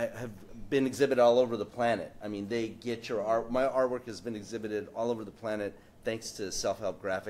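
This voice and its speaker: A man from San Diego speaking as an artist on a panel, telling animated anecdotes with frequent restarts and asides. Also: mid-range voice, slight background noise. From San Diego, United States.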